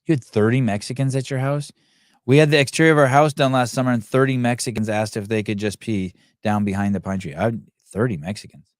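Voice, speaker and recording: A man hosting a podcast, chatting in a casual, profane, animated way and telling stories with put-on voices. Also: mid-range voice, fast talker, no echo, studio quality. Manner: profane, animated storyteller who does voices. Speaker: podcast host. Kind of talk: casual banter. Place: California home.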